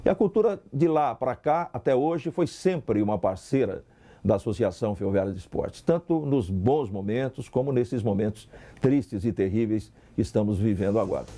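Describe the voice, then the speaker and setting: Brazilian male interviewee, very mellow low-leaning voice, emphatic delivery. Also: animated, normal speed.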